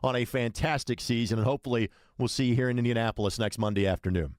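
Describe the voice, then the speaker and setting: A man's voice: mid-range voice, steady tone, quick pace, no echo. Male sports radio host, dry studio sound. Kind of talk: radio interview.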